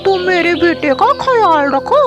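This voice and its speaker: Woman acting out a shrill, blustering cartoon male crow. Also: sing-song, measured pace, loud music behind the voice, heavily acted. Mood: delighted. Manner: scolding, blustering, exclamatory. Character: harsh, selfish male crow, a father scornful of daughters. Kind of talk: Hindi moral-story cartoon character voice.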